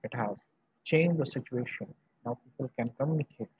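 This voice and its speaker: Husky Indian professor, muffled audio. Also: male voice, mid-range voice. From Varanasi, northern India. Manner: emphatic, halting short phrases with repetition. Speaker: university professor. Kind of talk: lecture to online students. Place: small indoor room. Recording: muffled, dull video-call audio.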